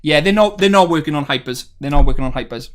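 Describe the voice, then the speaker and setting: A man's voice: a little high, expressive, quick pace. Chatty man streaming to viewers. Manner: chatty and rambling, many ums, upbeat bursts when viewers join. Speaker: streamer hosting a game development talk. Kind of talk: casual live stream chat, reading chat messages aloud.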